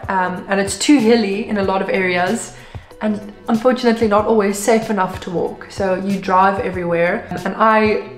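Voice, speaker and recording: South African woman in her twenties, native Afrikaans speaker, chatty upbeat vlogger, roomy sound, faint music under her. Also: mid-range voice. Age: 20s. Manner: chatty and upbeat, with frequent ums. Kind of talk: casual vlog talk to camera.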